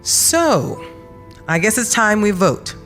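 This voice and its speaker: A woman's voice: mid-range voice, big pitch swings, slow, distant mic, soft background music. A woman playing a formal city council chair, announcing officially in an audio drama.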